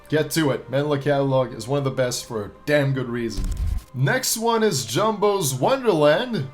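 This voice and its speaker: A man hosting a ranking video with casual, unscripted review commentary, repeating himself for emphasis in a hesitant way. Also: mid-range voice. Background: background music.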